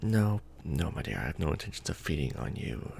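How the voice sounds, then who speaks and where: Casting: male actor as brooding, protective vampire lord for ASMR roleplay; low creaky voice, hushed formal delivery with sweeping intonation. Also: big pitch swings, a little hiss or hum, full character voice. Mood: hesitant, obliging. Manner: formal, archaic wording, slow and hushed. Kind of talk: ASMR romantic roleplay, soft close speaking.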